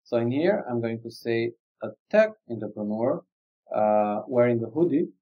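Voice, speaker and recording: A man whose native language is Albanian gives a relaxed screen-recorded software demo, thinking aloud with frequent fillers. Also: medium pitch, normal speed, dull audio. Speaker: software company presenter. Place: indoor room, webcam corner.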